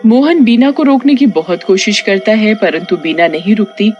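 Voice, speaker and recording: A woman's voice: medium pitch, normal speed, highs cut off, faint music behind. A woman reading a scripted horror story narration for a cartoon tale.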